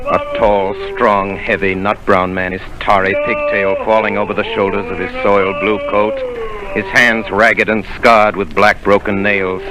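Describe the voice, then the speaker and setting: A man voicing a grown man who recalls his boyhood adventure, in measured 1930s radio drama narration. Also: fairly low pitch, level tone, natural voice, poor audio. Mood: earnest. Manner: measured, storytelling delivery. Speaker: narrator of the tale. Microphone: radio studio microphone.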